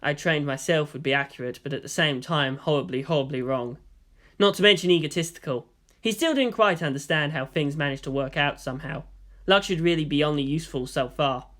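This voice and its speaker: A man's voice: fairly high pitch, fairly quick, fairly bright tone. A man reading fan fiction aloud as scripted audiobook narration.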